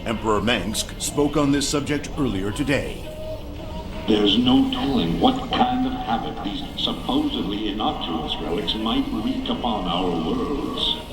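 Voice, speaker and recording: A man's voice: lowish voice, expressive, unhurried, roomy sound, music in the background, rough recording. An actor playing a smarmy male TV news anchor in a game, with a polished, sensational broadcast delivery.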